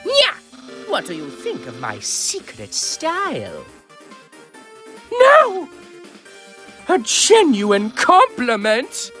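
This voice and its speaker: A man voicing a vain, naive cartoon skeleton from a video game, with a grandiose, theatrical delivery that jumps between hushed asides and loud exclamations. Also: very high-pitched, huge pitch swings, very slow, pauses often, heavily acted. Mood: boastful, then dramatic dismay. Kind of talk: amateur video game character dub. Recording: voice over background music.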